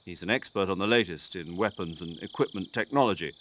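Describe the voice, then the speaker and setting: An actor playing a talkative military commander giving a mission briefing over the radio in a game cutscene. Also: male voice, deep voice, fast, a bit bright, a little hiss or hum. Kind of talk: video game cutscene acting.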